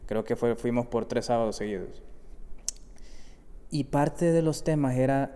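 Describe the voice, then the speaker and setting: A man's voice: mid-range voice, measured pace, warm tone, smooth. A man hosting a conversational podcast interview and putting questions to his guest. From El Salvador. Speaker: podcast host. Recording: echoey hall-like reverb.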